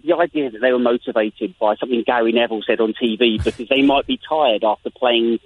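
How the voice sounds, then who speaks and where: A man's voice: mid-range voice, fast, slight background noise. An English-accented man, a guest journalist talking animatedly in an interview, often restarting his sentences. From England. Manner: animated, frequent restarts and repetitions. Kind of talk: interview over phone line. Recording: tinny phone line.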